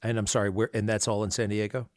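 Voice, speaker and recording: A man hosting an interview, asking rapid, halting questions full of fillers. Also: fairly low pitch, flat delivery, dry recording, studio quality. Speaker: interview host. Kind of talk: podcast interview.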